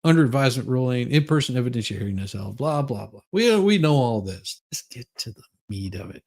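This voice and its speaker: A man's voice: medium pitch, big pitch swings, quick pace, gravelly, darkish tone. A man giving a comedic dramatic reading of a legal document, theatrical and folksy, with mocking asides. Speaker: streamer performing a dramatic reading.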